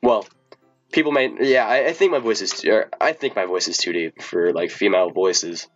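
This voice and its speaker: Sick man, streamer, rambling, stumbling, muffled lo-fi audio, soft music behind. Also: moderate pace. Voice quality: deep voice. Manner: rambling, stumbling over words. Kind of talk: casual live-stream chat and reading aloud.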